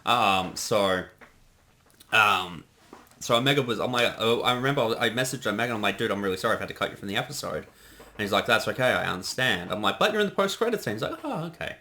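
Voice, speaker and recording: A man talks casually through a creator's commentary. His voice sits on the low side and has a bright, forward edge. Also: lively intonation, quick pace. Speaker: director giving creator commentary.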